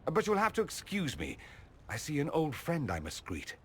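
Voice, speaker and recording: A man's voice: on the high side, wildly swooping pitch, moderate pace, fairly bright tone, slight background noise. A man playing a witty, theatrical mage ambassador in a video game, arch, eloquent and affectionate. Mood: wry, playful. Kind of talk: video game cutscene acting.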